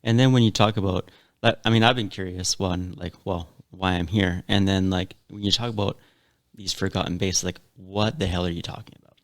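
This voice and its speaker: A man's voice: deep voice, fairly even intonation, brisk, no room sound, clean studio sound. A man from Saskatchewan co-hosting a casual podcast, laid-back and curious, asking questions with lots of "like". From Saskatchewan, Canada. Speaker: podcast co-host.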